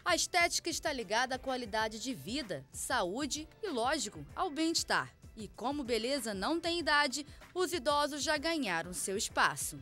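A woman's voice: high voice, very animated, normal speed, few pauses, sharp tone, faint music behind. A young Brazilian woman reporting for TV news, narrating in an upbeat, scripted way and holding a handheld mic at a trade fair. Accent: Brazilian Portuguese.

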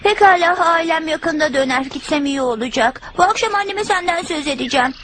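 Woman playing an eager cartoon boy, high-pitched. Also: a little fast, background noise, close to an everyday voice. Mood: chatty, excited. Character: eager, adventurous schoolboy, friendly and chatty. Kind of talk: dubbed cartoon acting.

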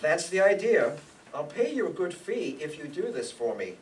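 Anxious, scheming businessman played by an older man, earnest, lively intonation, distant stage recording with faint noise. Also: a little high, moderate pace. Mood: firm. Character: anxious, scheming married businessman hiding an affair. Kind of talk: old-time radio acting before an audience. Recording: live stage pickup, distant, background noise.

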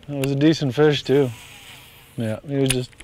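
A man in his thirties, a bass angler, chatting casually while fishing from a boat on a lake, chuckling and talking to the fish. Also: a little mellow, a little hiss or hum. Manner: easygoing, chuckles, talks to the fish. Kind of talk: casual on-water commentary.

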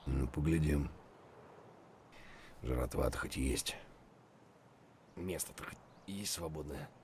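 An actor playing a gruff, wary, foul-mouthed male mercenary soldier in terse video game voice lines. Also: a bass voice, a little mellow, slight background noise. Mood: muttering.

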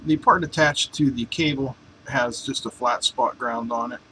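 Man, home workshop, casual how-to, folksy jokes, mid pitch, faint hum. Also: normal speed, sharp tone, poor audio. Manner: joking, offhand, folksy. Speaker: welding hobbyist demonstrating a torch swap.

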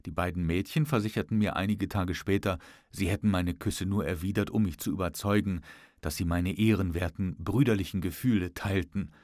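A man reads literary prose as an audiobook narrator. His voice is fairly low and the recording is dry and close, without room sound. He tells the story in a measured, expressive way. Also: dry recording.

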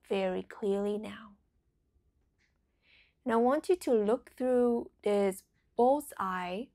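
A woman leading a guided meditation in a soothing, deliberate way, letting phrases trail into a breathy whisper. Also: medium pitch, a little mellow.

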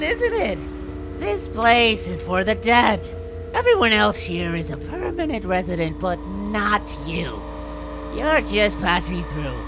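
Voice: mid-range voice, noisy background. An actor playing a playful, ominous child spirit of the afterlife, theatrical and taunting, in an audio comic drama. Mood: gleeful.

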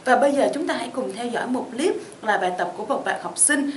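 A woman's voice: fairly high pitch, lively intonation, fast. A young woman giving a scripted presentation to camera as an education consultant. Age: young adult. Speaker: study-abroad consultant presenting a promotional programme. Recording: echoey room with faint noise.

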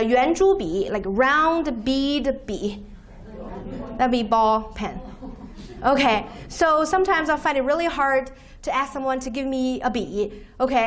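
Chinese-born woman lecturing, high voice. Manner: frequent "okay", explains terms slowly. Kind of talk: university lecture with slides. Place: lecture room, standing at podium by projector screen.

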